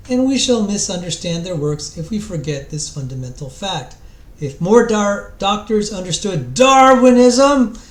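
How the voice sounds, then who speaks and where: A man giving a mock-dramatic reading of a book introduction, theatrical and solemn, pausing grandly and sometimes repeating words. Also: high voice, roomy sound.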